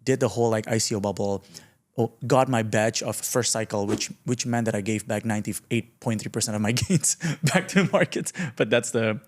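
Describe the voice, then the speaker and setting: A young man in his late twenties with a fairly low voice. He talks as a podcast guest in a loose, slangy, conversational way, often poking fun at himself. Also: fairly quick. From Indonesia. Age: late 20s. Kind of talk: casual conversational interview.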